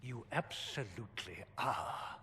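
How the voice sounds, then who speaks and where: A man's voice: fairly low pitch, expressive, takes it slowly, bright, forceful tone, full character voice. A man playing a pompous, hostile clergy official in a fantasy video game, imperious and accusatory. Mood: suspicious, indignant. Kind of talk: dramatic video game acting. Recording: reverberant stone hall, music underneath.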